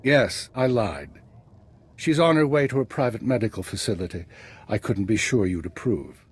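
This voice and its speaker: An actor playing an older retired male spymaster in a video game, dry and courteous, speaking in a formal, measured, understated way. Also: on the low side, animated, husky. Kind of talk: cinematic video game dialogue.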